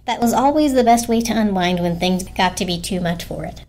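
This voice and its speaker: A woman's voice: medium pitch, fairly quick, a little mellow. A woman reading a storybook aloud as a dramatic narrator.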